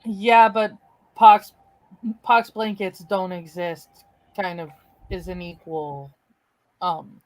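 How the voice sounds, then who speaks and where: A woman's voice: fairly low pitch, takes it slowly, long pauses. A woman in a casual podcast chat, blunt and frequently laughing.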